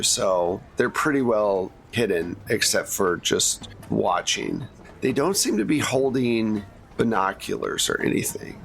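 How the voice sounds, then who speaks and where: A man's voice: lowish voice, creaky, a bit bright, slight background noise. A man running a tabletop game as game master, narrating scenes off the cuff in a casual, conversational way.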